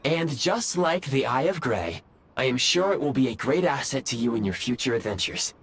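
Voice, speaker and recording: A man's voice: medium pitch, expressive, normal speed, a bit bright, slight background noise. A man voicing a polite, composed robotic train conductor in a video game, with formal, precise wording. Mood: polite, advising. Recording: music behind.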